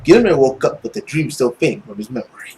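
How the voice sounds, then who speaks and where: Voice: medium pitch, sing-song, fairly quick, darkish tone, poor audio. A video host reading a visual novel aloud as a theatrical narrator, with casual asides.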